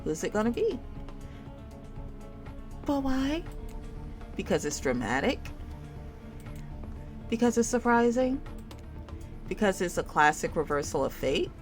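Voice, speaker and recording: A woman's voice: mid-range voice, big pitch swings, unhurried, long pauses, soft background music. A woman reading a game story aloud in a theatrical storytime style, shifting her voice between characters. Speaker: streamer reading a visual novel game aloud.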